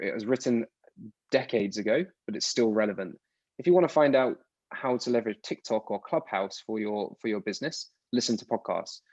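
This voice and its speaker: A man's voice: mid-range voice, a little mellow, no room sound. British man, rambling guest answers. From United Kingdom. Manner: rambling, restarts words often. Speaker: podcast guest. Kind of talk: casual interview answers. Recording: dull lo-fi dry sound.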